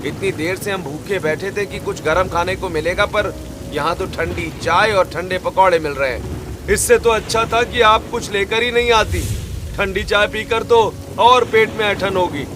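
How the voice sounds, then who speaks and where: A hungry, grumbling farmer son from a family cartoon, voiced by a man. His voice is slightly high and emphatic with complaint, with clear rain noise behind. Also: moderate pace, close to an everyday voice. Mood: irritated, complaining. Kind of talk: Hindi animated family story acting. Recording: loud rain ambience behind voice.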